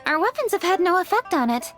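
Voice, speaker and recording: An actress voicing a brisk, analytical young woman leader in a game, speaking crisply and matter-of-factly. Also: extremely high pitch, sing-song, fairly bright tone, faint music behind, heavily acted. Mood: businesslike. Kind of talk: video game cutscene voice acting.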